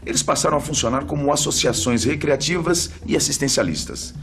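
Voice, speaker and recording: A man's voice: a little high, fairly quick. A Brazilian man presenting an educational documentary in a conversational narrating style.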